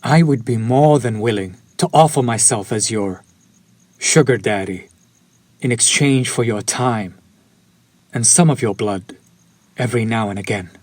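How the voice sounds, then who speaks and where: A man's voice: medium pitch, unhurried, long pauses, no room sound, lightly acted. A man playing a courtly, centuries-old vampire in an intimate audio roleplay, speaking to the listener with formal, old-fashioned politeness. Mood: courteous, eager.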